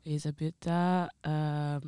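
A woman's voice: sits low, little pitch movement, takes it slowly, mellow, smooth, dry recording, studio quality. A young woman from Italy with an Italian accent, chatting casually and informally as a podcast guest. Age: young adult. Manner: informal, frequent slang fillers like "genre". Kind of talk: casual chat.

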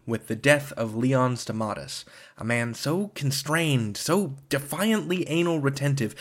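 A man with a mid-range voice introduces a show as podcast host. His delivery is scripted yet conversational. The phrasing is wry and literate. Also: mid-range voice.

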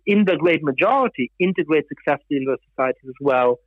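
A man with a slightly high voice explains ideas in a measured, careful way, pausing on fillers and restarting phrases. He is a guest author raised in Germany. His voice comes over a dull, muffled remote line. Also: animated, no echo. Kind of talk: discussion on a panel.

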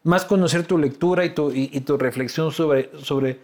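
A man with an Ecuadorian accent and a slightly high voice. He interviews in a loose, slangy way, probing and teasing as he goes, and tends to repeat words while he builds a question. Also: moderate pace, darkish tone. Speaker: interviewer, host. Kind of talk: casual, slangy political interview.

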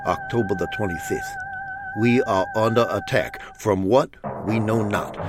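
An actor playing a grave army fort commander, narrating logbook entries formally and dramatically in an audio drama. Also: male voice, fairly low pitch. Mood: doomed, desperate.

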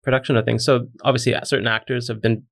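Halting Canadian man, gravelly voice. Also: mid-range voice, little pitch movement, a bit bright. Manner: hesitant, many ums and restarts. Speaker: interviewer. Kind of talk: casual interview.

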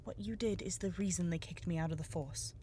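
Female actor as younger private eye, slightly low voice, cool, determined, persuasive, noir audio drama with faint background noise. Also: a little fast, mellow, natural voice. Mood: bitter.

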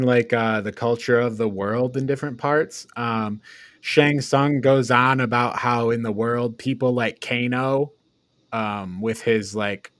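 A man chatting casually as a podcast co-host, haltingly thinking aloud through a review. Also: medium pitch, a bit bright, no echo.

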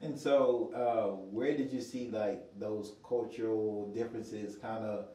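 A man's voice: fairly low pitch, fairly even intonation, unhurried, mellow. Enthusiastic male podcast host, roomy sound. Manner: enthusiastic, loose, filler-heavy. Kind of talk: casual interview hosting. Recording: roomy echo.

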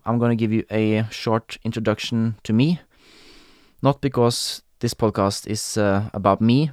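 A young man from Norway hosting a podcast in English with a Norwegian accent, talking casually with frequent pauses and fillers. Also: medium pitch, clean tone, no room sound, clean studio sound. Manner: hesitant, many fillers and restarts, laughs often. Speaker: podcast host. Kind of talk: casual solo podcast talk.

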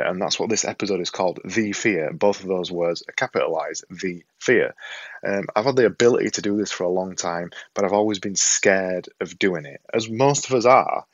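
A man with a Yorkshire accent speaks straight to the camera in a small home room, earnest and self-deprecating, circling back over his own words. His voice sits a little low and sounds close and dry, with a slightly dull, muffled edge to the recording. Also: no echo. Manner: earnest, self-deprecating, repeats himself for emphasis. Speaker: vlogger and podcaster. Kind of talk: casual talk to camera. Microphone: desktop setup with a camera and a monitor.

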